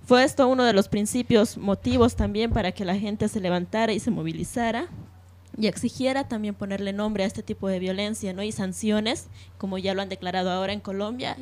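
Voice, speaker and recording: Female radio host, mid-range voice, semi-scripted news presenting, hesitant and halting phrasing. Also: mid-range voice, a little mellow.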